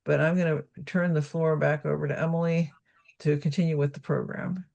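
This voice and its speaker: A woman's voice: low voice, steady tone, brisk, creaky, a little mellow, lo-fi sound. A woman presents her organization's online exhibits over a video call in an informative, chatty way.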